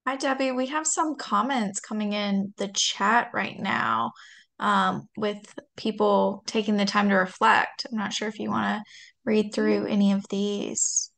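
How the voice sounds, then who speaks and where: A young woman speaks softly through a webcam mic on a video call. Her delivery is flat and monotone, with a gravelly vocal fry running through it. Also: mid-range voice, a little slow. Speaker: webinar co-host reading the chat. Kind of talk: casual webinar remarks.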